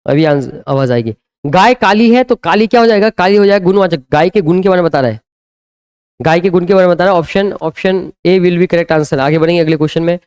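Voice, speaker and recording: A man's voice: sits high, fast talker, darkish tone, clear, resonant tone. A man teaching a live online grammar lesson, quizzing students with repeated questions and answering them himself.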